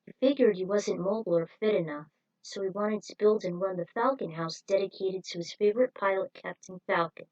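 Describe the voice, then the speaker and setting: A woman's voice: medium pitch, a little fast, a little mellow, lo-fi sound. A woman narrating a scripted story, reading it aloud as a storyteller.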